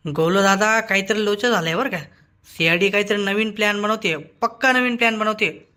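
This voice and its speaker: An eager, nervy cartoon informant, acted out by a performer, with a bright, sharp voice. The snitch talks defensively, repeats phrases and keeps making excuses to please the boss. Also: mid-range voice, close to an everyday voice. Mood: anxious, eager. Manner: hurried, repetitive, defensive. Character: nervous gossipy gang informant Shivlal, eager, excuse-making. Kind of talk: comic Marathi cartoon dialogue.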